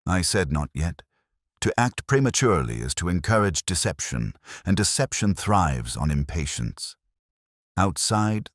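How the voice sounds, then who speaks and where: A middle-aged man playing a brooding, reflective detective who narrates his case in a measured, literary, introspective way for an audio drama. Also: very deep voice, no room sound, studio quality, heavily acted. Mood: pensive, somber.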